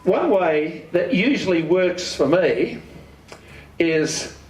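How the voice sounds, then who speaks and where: An Australian man with a slightly high-pitched voice gives an informal club presentation, recorded from a distance in a very echoey room. He is plain-spoken and often poses questions that he then answers himself. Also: animated, slow, darkish tone. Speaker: amateur radio club speaker. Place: community hall.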